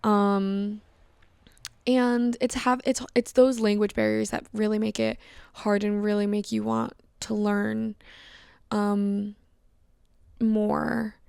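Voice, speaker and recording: A woman's voice: medium pitch, little pitch movement, takes it slowly, gravelly, pauses often, a little mellow, clear, resonant tone, no echo, studio quality. A young woman hosting a podcast alone, talking candidly and reflectively about her own life, with frequent fillers and restarts. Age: young adult. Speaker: podcast host. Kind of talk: casual solo podcast monologue.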